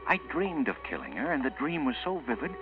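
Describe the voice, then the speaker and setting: A dull vintage radio recording with music behind. a jittery, self-doubting male murderer in a suspense drama, halting and melodramatic, his voice swinging widely as he argues with himself. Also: mid-range voice, wildly swooping pitch. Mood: doubting, haunted. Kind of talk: 1940s radio acting, interior monologue.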